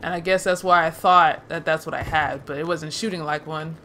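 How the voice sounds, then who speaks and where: A woman's voice: low voice, fairly even intonation, a little fast, fairly bright tone, a little hiss or hum. A woman casually commenting on her own gameplay, chatty and laughing, swearing, and talking to herself. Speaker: let's play gamer.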